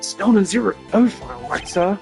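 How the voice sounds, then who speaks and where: A man voicing a loyal, eager, slow-witted male police detective in a game, with an excitable, puzzled, blunt manner. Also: sits high, creaky, pitched above the performer's own voice. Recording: music behind the voice.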